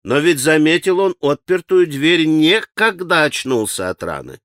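A man voicing a stern, methodical prosecutor in an audiobook, questioning a suspect in a formal, measured, insistent manner. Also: on the high side, big pitch swings, a bit bright, no echo, clean studio sound. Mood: firm, insistent. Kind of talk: dramatic audiobook character acting.

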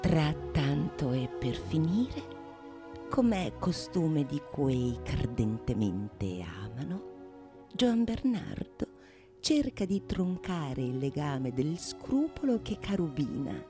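A woman's voice: sits low, big pitch swings, slow, over music. A woman, a solo actress, delivering theatrical scripted narration.

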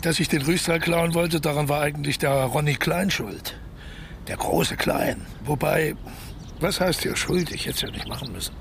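A man's voice: a little high, moderate pace, vocal fry, a little hiss or hum. A man acting a resigned, wry failed actor turned thief in a radio crime comedy, in an understated, naturalistic way. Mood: rueful, reflective.

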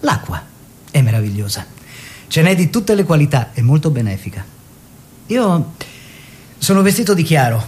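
Young well-to-do painter: higher-pitched, very animated, expressive stage monologue, relishes each short phrase. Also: male voice, normal speed, long pauses. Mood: wondering. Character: eager young bourgeois painter fleeing the family business. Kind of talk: theatrical radio monologue.

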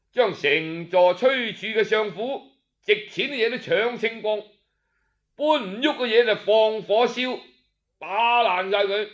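A male Cantonese storyteller with a fairly high voice narrates at a slow pace. His delivery is colloquial and expressive, with drawn-out sentence endings. The audio is slightly dull.

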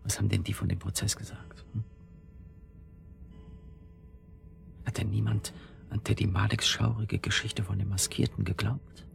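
A man's voice: fairly low pitch, flat delivery, brisk, vocal fry, soft background music. An actor in a radio drama playing a sceptical, uneasy married city man with a dismissive, impatient manner. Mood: uneasy, hushed. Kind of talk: naturalistic German radio drama acting.

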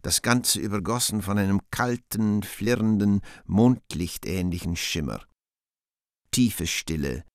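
A man reading stage directions as narrator of a radio play, in a measured, solemn way. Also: lowish voice, no room sound, clean studio sound.

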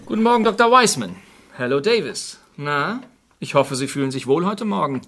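Bright-voiced, probing gentleman detective. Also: on the high side, big pitch swings, brisk, exaggerated voice. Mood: brisk, wry. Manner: polite, probing, wryly sceptical. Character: shrewd, courteous gentleman detective questioning suspects. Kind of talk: radio crime drama acting.

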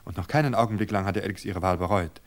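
A man's voice: low voice, fast. A man reading a literary story as a radio narrator, in scripted narration.

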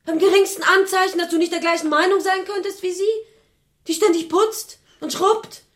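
An actress playing an upset young adult daughter, insistent and accusing, in a dramatic production. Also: female voice, very high-pitched, steady tone, moderate pace, a bit bright. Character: Faith, upset young adult daughter confronting her secretive mother. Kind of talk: stage-style drama acting.

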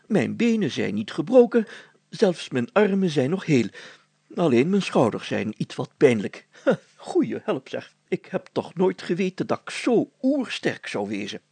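A man's voice: on the high side, mellow, husky, dry recording, pitched above the performer's own voice, heavily acted. A man voicing a pompous, blustering village mayor in a story, talking in grand formal words broken by groans and interjections. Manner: pompous, old-fashioned formal wording, full of groans and interjections.

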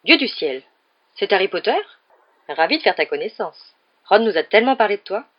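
A woman's voice: medium pitch, animated, fairly quick, muffled recording, natural voice. A woman voicing a weary middle-aged wizard father in a storybook, enthusiastic but sheepish, rambling and stammering when cornered. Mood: surprised, welcoming.

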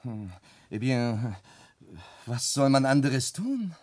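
An actor playing a vain French gentleman dreaming of glory in a classic radio play, dropping French phrases. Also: medium pitch, big pitch swings, slow pace. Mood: sulking. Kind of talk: classic radio drama acting.

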